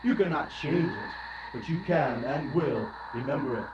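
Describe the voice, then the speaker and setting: A man's voice: fairly high pitch, normal speed, close to an everyday voice. Solemn male spirit, played by a man, declamatory and stern, dull far-off live recording for radio drama. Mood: stern, commanding. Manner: declamatory, commanding, formal. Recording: dull, echoey, distant, loud audience noise.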